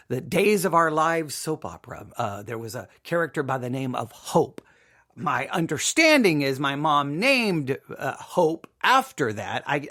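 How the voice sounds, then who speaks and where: A man's voice: a little high, a bit bright. A middle-aged man from Texas hosting a podcast, telling a personal story in an emphatic, repetitive monologue. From Texas, United States. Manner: emphatic, repetitive, seeking agreement with "right?". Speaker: podcast host.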